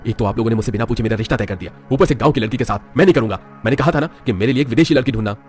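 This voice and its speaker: A man's voice: mid-range voice, rapid-fire, a little mellow, lightly acted. A man playing a stern, snobbish young hotel owner in a story, scolding haughtily. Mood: indignant. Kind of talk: cartoon moral-story acting.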